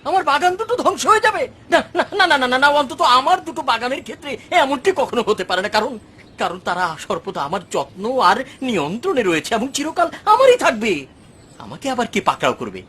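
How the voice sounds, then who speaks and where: A man voicing an arrogant, boastful rich landowner in an animated moral story, with a theatrical delivery. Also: very high-pitched, a little fast, slightly rough, full character voice. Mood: indignant, defiant. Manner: theatrical, swinging from mocking to wailing. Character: arrogant, boastful rich man who owns two orchards.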